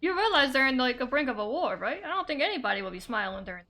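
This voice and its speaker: Bright, quick woman game streamer. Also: sits high, big pitch swings. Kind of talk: casual gameplay reaction.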